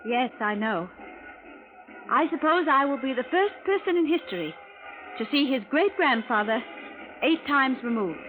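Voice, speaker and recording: A woman's voice: high-pitched, lively intonation, moderate pace, long pauses, a bit bright. A woman acting in a 1940s radio drama as an earnest, formal visitor from the future, with precise wording. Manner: precise, formal, avoids contractions. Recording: tinny vintage radio broadcast with music.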